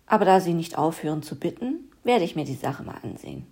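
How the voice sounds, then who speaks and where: A woman's voice: deep voice, very animated, a little fast, creaky, warm tone, breathy edge, heavily acted. A woman voicing a vain, haughty stag in a spoken fairy tale, talking pompously and disdainfully.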